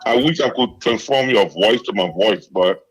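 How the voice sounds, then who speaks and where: Low-voiced man calling in to radio. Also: normal speed, dull audio. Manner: warm, laughing, rambling. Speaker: caller, host of another show. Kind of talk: phoned-in birthday greeting.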